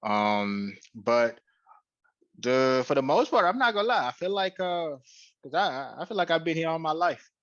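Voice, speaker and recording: A man's voice: fairly high pitch, sing-song, moderate pace, a bit bright, no room sound, muffled recording. A young man from Guinea raised in Atlanta, with a West African and Atlanta accent, chatting as an interview guest, hesitant with many uhs and easy laughs. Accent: West African mixed with Atlanta. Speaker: guest, YouTube creator. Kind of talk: casual interview chat. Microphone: headset on video call.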